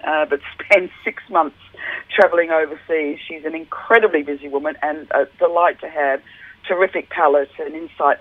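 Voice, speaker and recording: Husky woman on a phone line. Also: low-pitched, no echo. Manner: enthusiastic, rambling with many fillers. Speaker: wine judge guest. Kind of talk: casual radio phone interview. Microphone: telephone. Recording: narrow tinny phone line.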